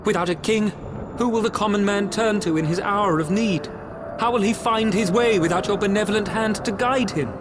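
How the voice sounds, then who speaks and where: A man's voice: sits high, moderate pace, noisy background, lightly acted. A man playing a dutiful, devoted knight in a fantasy video game, with earnest, formal archaic speech. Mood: pleading. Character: pleading, dutiful knight devoted to his lord.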